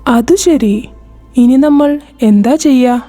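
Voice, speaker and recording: A woman voicing a caring older village mother who speaks gently and motherly, for an animated storybook. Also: sits high, slow pace, faint music behind.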